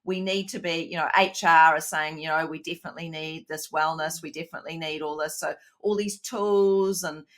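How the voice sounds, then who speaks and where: A woman's voice: sits low, brisk, hardly any pauses. A woman with a New Zealand accent, a little nasal from hay fever, co-hosting a casual chat and talking animatedly over a remote call. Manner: animated, frequent restarts, acts out quoted voices. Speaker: podcast co-host.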